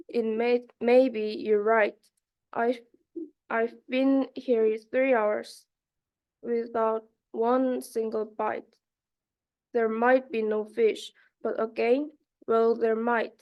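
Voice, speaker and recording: A young woman from South Korea, a university student, reading a rhyming children's book aloud in a careful, halting way. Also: a little high, lo-fi sound. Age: young adult.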